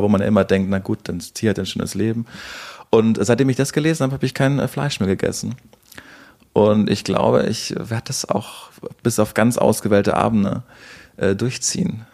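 A man's voice: on the low side, darkish tone. A man hosting a podcast in a casual, conversational way. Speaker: podcast host.

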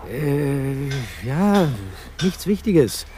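A man's voice: a little high, expressive, extremely slow, noisy background. An actor playing a grumbling, evasive and easily embarrassed teenage boy detective in a German audio drama. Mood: defensive, sulky. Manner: grumbling, hesitant.

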